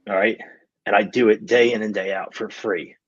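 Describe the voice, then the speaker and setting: A man's voice: lowish voice, steady tone, moderate pace, slightly rough, no room sound. A man in his twenties giving a casual motivational rant, blunt, emphatic and full of swearing, on lo-fi audio. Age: 20s. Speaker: podcast speaker.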